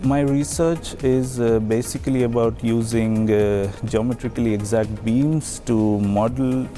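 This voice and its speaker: A man from India, a doctoral researcher, giving recorded interview answers in a measured way with many pauses and fillers. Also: medium pitch, fairly even intonation, warm tone, faint music behind. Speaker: PhD researcher.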